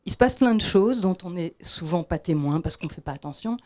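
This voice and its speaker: Low-voiced woman, halting and reflective. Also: lively intonation, dark tone. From Belgium. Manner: halting, reflective, many restarts. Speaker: audience member. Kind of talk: question from the floor.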